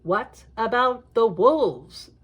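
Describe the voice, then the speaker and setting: A woman's voice: on the high side, lively intonation, very slow, breathy edge, heavily acted. A woman reading as a dreamy, musing dad.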